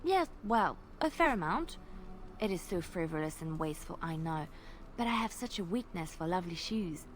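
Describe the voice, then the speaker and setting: A woman's voice: on the low side, animated, moderate pace, a little hiss or hum, natural voice, low-quality recording. A woman voicing a dreamy, playful bard. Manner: soft, lilting, fond of detail. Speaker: game companion character.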